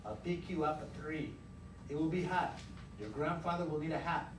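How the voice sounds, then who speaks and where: A man plays a brisk, easygoing storybook boss who gives orders casually and directly. The voice is slightly high, distant and echoey. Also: lightly acted. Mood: businesslike. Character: easygoing, brisk male employer hiring day labourers. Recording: roomy, noisy classroom, recorded from afar.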